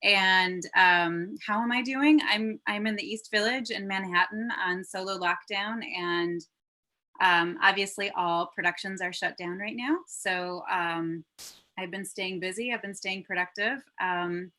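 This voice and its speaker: A woman's voice: medium pitch, sharp tone. A woman producer, raised between Michigan and Germany with German as her first language, chatting upbeat as a guest on a video call interview. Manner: upbeat, chatty, quick laughs.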